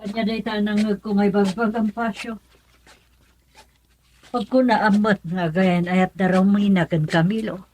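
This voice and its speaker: A woman's voice: medium pitch, fairly even intonation, measured pace, darkish tone, close to an everyday voice. A woman acting a stern, hot-tempered mother in an Ilocano radio drama, scolding emphatically. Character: stern, hot-tempered mother confronting her daughter and her suitor.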